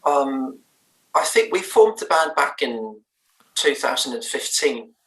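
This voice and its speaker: Danish-accented man, bright and roomy. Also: medium pitch, very animated, takes it slowly, far from the mic. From Denmark. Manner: thoughtful, hesitant, many fillers and restarts. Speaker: band's lead singer. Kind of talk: remote interview chat.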